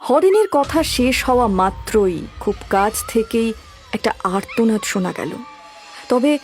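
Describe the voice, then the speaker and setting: A woman's voice: fairly high pitch, lively intonation, unhurried, darkish tone. A woman giving scripted, dramatic narration of a horror story. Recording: background music throughout.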